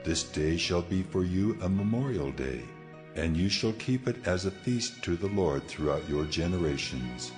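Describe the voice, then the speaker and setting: A man's voice: low-pitched, lively intonation, moderate pace, music in the background. A man playing God in a dramatized scripture reading, solemn and authoritative as he declares commands. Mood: solemn, commanding. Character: God speaking laws, solemn commanding male deity.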